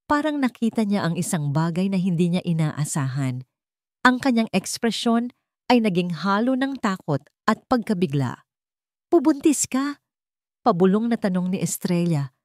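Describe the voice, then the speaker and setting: A woman with a Filipino accent narrates a dramatic scripted story with emotive, deliberate phrasing. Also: on the low side, darkish tone, no echo, clean studio sound.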